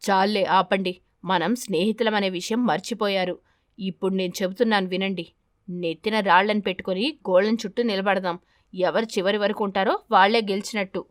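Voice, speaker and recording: A woman's voice: mid-range voice, steady tone, brisk, dry recording, studio quality, close to an everyday voice. Woman playing a bossy, commanding male eagle. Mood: bossy, stern. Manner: commanding, domineering. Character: bossy scheming male eagle, bullying the other birds.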